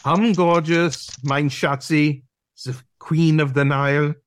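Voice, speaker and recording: Bossy canine drama teacher played by a man, fairly high male voice, slow delivery, mocking and brusque. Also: fairly even intonation, noisy background. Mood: flirtatious. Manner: brusque, commanding, peppered with German words. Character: domineering, mocking male dog masterclass teacher. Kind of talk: audio play acting.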